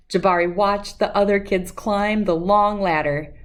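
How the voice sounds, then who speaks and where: A woman speaking as a children's read-aloud host, expressive and storybook-like, with drawn-out emphasis. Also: mid-range voice. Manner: slow, animated delivery with drawn-out emphasis for young listeners.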